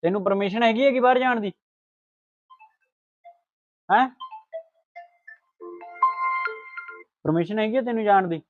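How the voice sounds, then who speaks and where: A man's voice: high voice, fairly quick, no echo, narrow, tinny audio, soft background music, lightly acted. A man acting a controlling, suspicious, irritable husband in a short film, with a Punjabi accent. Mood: scolding.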